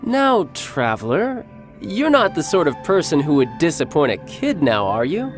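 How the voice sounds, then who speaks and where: Cocky young game warrior, doting big brother type, teasing and smooth, bit of music behind. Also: male voice, on the high side, huge pitch swings, normal speed. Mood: playful. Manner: teasing, smooth-talking, self-assured. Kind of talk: video game voice acting. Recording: background music under the voice.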